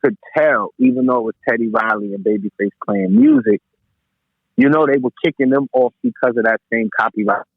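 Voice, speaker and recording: A man's voice: medium pitch, very animated, a little fast, gravelly, no room sound. A man talking casually about music as a podcast guest, repeating phrases for emphasis, over a tinny connection. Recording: tinny, phone-like radio sound.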